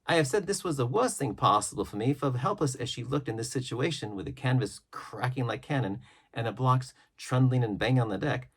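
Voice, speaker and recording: Expressive male storyteller with a husky voice. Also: mid-range voice. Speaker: audiobook reader. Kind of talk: expressive read-aloud of a classic adventure novel.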